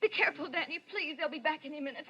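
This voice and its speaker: High-voiced, jittery, frightened young woman. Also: rapid-fire, bright, forceful tone, a little hiss or hum. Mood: urgent warning. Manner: anxious, pleading. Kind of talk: 1940s radio thriller acting. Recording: dull, lo-fi vintage radio recording.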